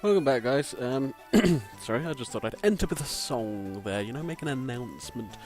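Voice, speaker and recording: A man with a croaky voice, giving rambling, self-deprecating gameplay commentary from a home mic and swearing casually. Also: medium pitch, expressive, normal speed, a little mellow, soft background music. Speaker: let's play commentator. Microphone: home desk microphone.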